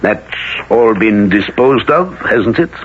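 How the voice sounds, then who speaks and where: An actor playing a controlling, self-assured elder in a 1970s radio drama, husky, precise and coolly sinister. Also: male voice, fairly low pitch, expressive, slow pace, full character voice. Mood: coolly scheming. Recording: dull old radio recording.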